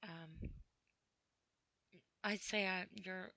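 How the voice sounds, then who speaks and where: A tired woman podcasting alone, rambling in a halting, apologetic way with many fillers after crying earlier. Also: on the low side, fairly even intonation, sharp tone, no echo. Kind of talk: casual rambling monologue. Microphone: computer mic with headphones on.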